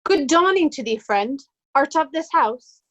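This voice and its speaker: Woman acting haughty craven steward, Shakespearean stage delivery, very high voice, slow drawn-out pace. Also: animated, dull audio. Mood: polite, affronted. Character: Oswald, haughty cowardly male steward, easily panicked. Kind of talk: reader's theatre Shakespeare acting.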